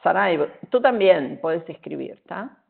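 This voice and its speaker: A middle-aged Argentine woman with a Portuguese-tinged accent, giving a relaxed, chatty webinar talk with many fillers over a video call. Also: on the low side, measured pace, creaky. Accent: Argentine Spanish, with Portuguese influence. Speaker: guest speaker. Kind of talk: webinar presentation. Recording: tinny phone-like online call audio.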